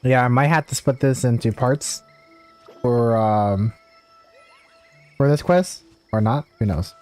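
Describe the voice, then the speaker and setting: A man's voice: medium pitch, takes it slowly, darkish tone, soft background music. A man chatting casually and easily as he live-streams a game, rambling a little.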